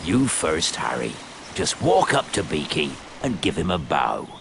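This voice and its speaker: An actor playing a big, gruff, hearty groundskeeper teacher in a video game cutscene. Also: male voice, low voice, wildly swooping pitch, unhurried, background noise, exaggerated voice. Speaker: teacher character.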